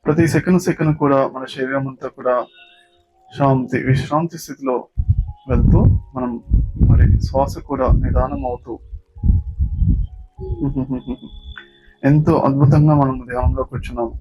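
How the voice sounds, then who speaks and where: A man with a Telugu accent leading a guided yoga nidra meditation as a teacher, giving slow, repetitive, soothing instructions. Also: medium pitch, steady tone, a little mellow. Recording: dull lo-fi sound with music. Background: background music.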